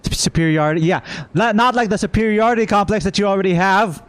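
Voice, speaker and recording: A man's voice: high-pitched, fast, fairly bright tone, smooth. A man streaming a game, reacting in casual, slangy commentary with playful heckling.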